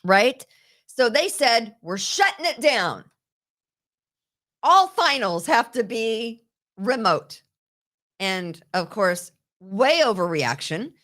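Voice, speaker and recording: Woman talk show host, opinionated, fast and indignant, repeats points. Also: a little high, very animated, a bit bright. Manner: indignant, rapid, repeats herself. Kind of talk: opinionated political chat. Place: New York.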